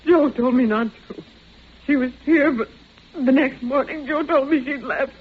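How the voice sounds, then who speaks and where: Very high-voiced, frightened mother in radio drama. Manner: halting, tearful, pleading. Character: frightened, pleading mother-in-law, timid and distraught. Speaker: actor. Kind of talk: 1940s radio acting. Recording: thin, phone-like old radio recording.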